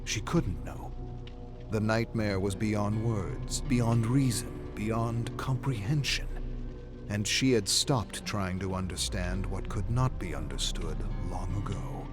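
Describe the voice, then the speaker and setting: A male actor playing a grave storyteller, narrating a dark fantasy saga in a deliberate, suspenseful way. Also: on the low side. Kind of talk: scripted dramatic narration. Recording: music behind the voice. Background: music.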